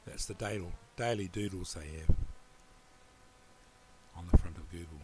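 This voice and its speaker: A man with a New Zealand accent giving a relaxed, rambling screen-recorded computer tutorial. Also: low-pitched, normal speed, slight background noise, rough recording. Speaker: tutorial presenter.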